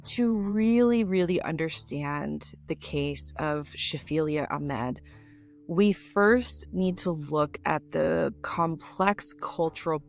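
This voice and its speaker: A low-pitched woman narrates a true-crime case. She stresses key words emphatically and sometimes speaks to her listeners directly. Faint music plays under her. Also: creaky. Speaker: podcast co-host.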